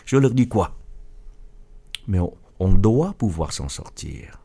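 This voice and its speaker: A middle-aged man from Vietnam answers interview questions earnestly, often restarting his sentences. His low, mellow voice moves with lively intonation. A slight huskiness runs through it. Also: takes it slowly, vocal fry. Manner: earnest, with frequent restarts and stumbles. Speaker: guest author and business leader.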